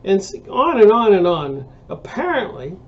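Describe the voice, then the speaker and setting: A man's voice: high voice, very animated, moderate pace, dull audio. An American man in his sixties, hosting a book review channel, talks in a casual, rambling monologue full of fillers and restarts. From United States. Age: 60s.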